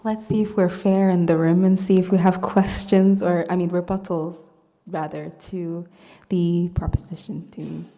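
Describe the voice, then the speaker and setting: A woman's voice: on the low side, flat delivery, normal speed, mellow. A woman moderating a live debate, inviting questions and correcting herself as she goes. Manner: hesitant, self-correcting. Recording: reverberant hall.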